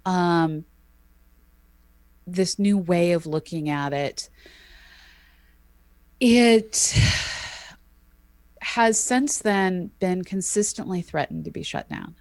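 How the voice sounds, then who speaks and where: A woman co-hosting an astronomy podcast, explaining at length in a measured, thoughtful way with frequent ums. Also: fairly low pitch, clear, resonant tone, no room sound. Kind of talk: casual explanatory chat.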